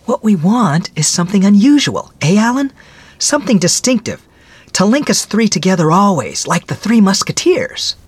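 A woman's voice: mid-range voice, normal speed, husky, no echo. A woman playing an eager, vivacious young engaged woman in story dialogue. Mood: bright, excited. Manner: excitable, eager, chattering.

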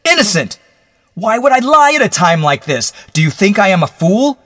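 A man's voice: medium pitch, animated, a little fast, no echo, studio quality, voice pushed up, natural voice. A man voicing a desperate, overwrought condemned prisoner with pleading, vehement delivery in a melodramatic audiobook. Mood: frantic. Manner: pleading, panting, vehement outbursts.

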